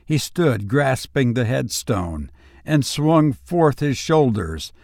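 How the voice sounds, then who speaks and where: A man hosting and narrating horror stories in a dramatic, scripted style, with deliberate, suspenseful pauses. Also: mid-range voice, sing-song, vocal fry, a little mellow, no echo, clean studio sound. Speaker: narrator and host of audio story show.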